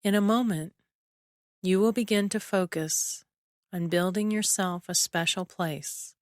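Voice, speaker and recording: A woman narrating a scripted guided relaxation in a soothing, measured way, with short phrases and long pauses. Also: medium pitch, dry recording, clean studio sound. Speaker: guided imagery meditation narrator.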